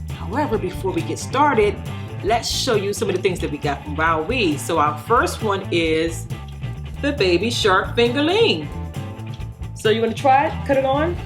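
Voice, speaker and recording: A woman hosting a casual toy unboxing and demonstration, explaining things simply as if to a child and viewers. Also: mid-range voice. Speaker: toy review host. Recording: background music behind the voice. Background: music.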